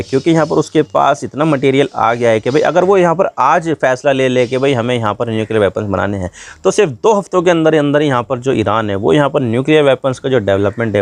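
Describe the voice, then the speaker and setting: A man speaks at a medium pitch in a conversational explainer style. He talks to viewers colloquially, circling back through the same filler phrases as he lays out each point. The sound is dry, with almost no room echo. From India. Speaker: news explainer host.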